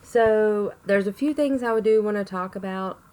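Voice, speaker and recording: A woman with a hoarse voice from a chest cold, rambling chattily to camera in a casual update video with plenty of ums. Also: mid-range voice, normal speed, darkish tone. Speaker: vlogger.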